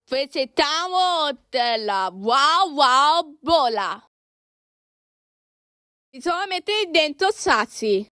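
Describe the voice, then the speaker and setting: A woman's voice: high voice, big pitch swings, very slow, long pauses, pushed and bright, dry recording, clean studio sound. A woman doing an amateur cartoon fan dub, acting out several characters in an exaggerated, exclamatory way.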